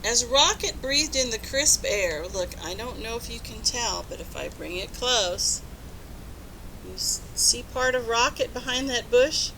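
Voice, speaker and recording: Female storybook reader: high, very lively pitch, unhurried, instructive, faint rumble behind. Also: poor audio. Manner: expressive, instructive, addressing young children. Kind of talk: children's read-aloud, asking listeners questions.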